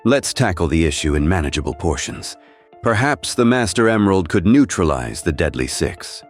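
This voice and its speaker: A man's voice: low voice, big pitch swings, moderate pace, soft background music. A man voicing a refined, arrogant doctor villain who speaks formally and precisely, as in a cartoon. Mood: measured.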